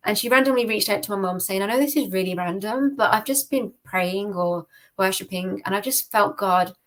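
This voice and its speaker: A British woman speaks at a middle pitch as a podcast guest. She tells her own story conversationally, in an informal way with "like" sprinkled through it. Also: brisk, fairly bright tone. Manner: informal, peppers speech with "like". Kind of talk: conversational personal testimony.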